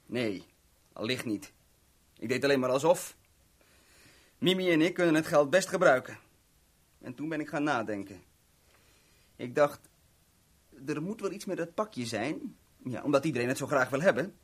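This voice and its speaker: A man's voice: mid-range voice, lively intonation, normal speed, pauses often, breathy edge. An actor playing a cocky, scheming small-time crook in a 1970s Dutch radio drama, chummy and mock-friendly as he boasts and explains. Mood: chummy, explaining.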